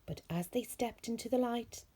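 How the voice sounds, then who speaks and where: Woman reading a kids rhyming story, slow n mellow, really breathy husky voice. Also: a little high. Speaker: storybook reader. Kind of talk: read-aloud rhyming children's story.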